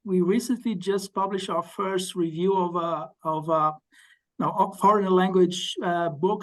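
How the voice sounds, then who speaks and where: Male editor-host, high voice, brisk hand-offs between speakers. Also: poor audio. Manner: brief thanks, quick hand-offs to speakers. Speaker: journal editor hosting a workshop. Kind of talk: moderating an online discussion.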